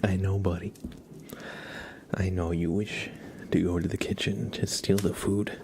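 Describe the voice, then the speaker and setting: A man with a fairly low voice speaks softly and unhurriedly, often slipping into a whisper. He chats casually as an ASMR livestreamer, close to the microphone. A clear layer of background noise sits behind him. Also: level tone, measured pace. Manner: soft-spoken, often dropping into whispers. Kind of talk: live ASMR stream, casual chat with viewers.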